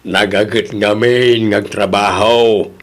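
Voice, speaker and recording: A man's voice: lowish voice, steady tone, slow pace. A man acting a resigned, admonishing middle-aged father with a Filipino accent in a family audio drama. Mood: resigned, scolding. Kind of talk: Ilocano radio-style family drama acting.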